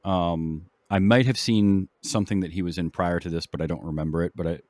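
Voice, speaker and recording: A man hosting a film podcast, casually explaining background in a halting, explanatory way. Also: low voice, steady tone, a little mellow, no echo.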